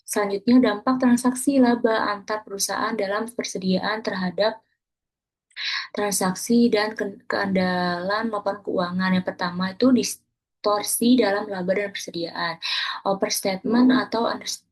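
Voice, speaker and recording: A woman's voice: medium pitch, fairly even intonation, moderate pace. A young woman student presenting an accounting class lesson, reading steadily from her slides and correcting small slips as she goes.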